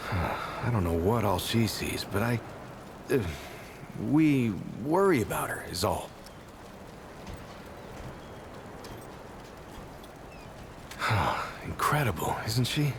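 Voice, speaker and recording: Bashful game mayor, earnest, halting and stammering, lively intonation, clearly noisy background. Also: male voice, on the low side, exaggerated voice. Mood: admiring. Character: earnest, bashful male mayor of a survivor settlement. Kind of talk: naturalistic video game dialogue acting.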